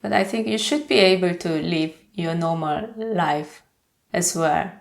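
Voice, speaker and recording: A woman's voice: low voice, vocal fry. A young woman with a Korean accent, talking to camera in a personal vlog with careful, hesitant delivery. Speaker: YouTuber.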